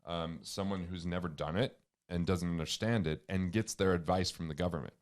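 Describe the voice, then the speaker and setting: A man with a booming voice, a financial author and comedian, talking earnestly and emphatically as a guest in an interview. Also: fairly quick. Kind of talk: conversational interview.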